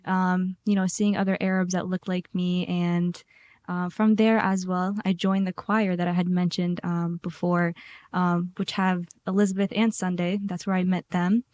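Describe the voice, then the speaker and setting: A woman's voice: lowish voice, flat delivery, moderate pace, a little mellow. A nineteen-year-old woman from Iraq, a podcast guest who jokes and laughs between lines. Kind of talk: casual chat.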